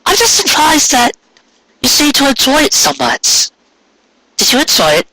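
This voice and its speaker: A man voicing a kindly, motherly female pony baker in a storybook, speaking gently and reassuringly. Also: very high-pitched, huge pitch swings, moderate pace, dry recording, voice pushed up, exaggerated voice, low-quality recording.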